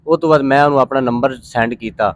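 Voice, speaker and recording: A man's voice: medium pitch, fast talker, dry recording. A man from Pakistan with a Punjabi accent, telling his story casually as an interview guest in a rambling, plain-spoken way.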